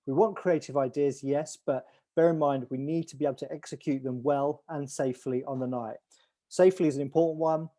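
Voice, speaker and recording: A man hosting a video call, his voice sitting a little high but soft and mellow in tone. He talks in a loose, rambling way, restarting sentences and leaning on fillers as he briefs everyone. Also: steady tone, fairly quick. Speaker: event organizer hosting an online call.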